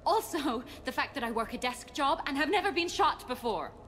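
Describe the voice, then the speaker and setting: An actress playing a jumpy, chatty young military intelligence desk worker who rambles and hesitates in video game dialogue. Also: female voice, high voice, expressive, normal speed, fairly bright tone, soft background music. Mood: jittery, rambling. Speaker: intelligence analyst character.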